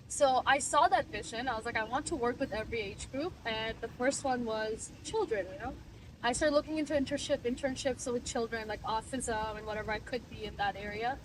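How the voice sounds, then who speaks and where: High-voiced young woman, earnest and reflective. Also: moderate pace, far from the mic, noisy background. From Bangladesh. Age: 20s. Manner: reflective, earnest, laughs easily. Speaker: client giving a testimonial. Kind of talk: casual interview.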